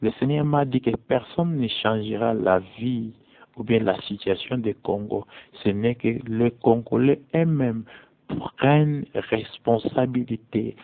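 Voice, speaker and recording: A man preaching as a prophet in slow, deliberate French, his being English. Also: mid-range voice, steady tone, gravelly. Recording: tinny phone-like audio, dry.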